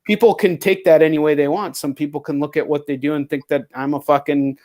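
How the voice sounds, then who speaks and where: A man's voice: on the high side, steady tone, a little fast, a little mellow. A man hosting a casual talk show chat, speaking earnestly and swearing casually. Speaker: talk show host, comic book creator.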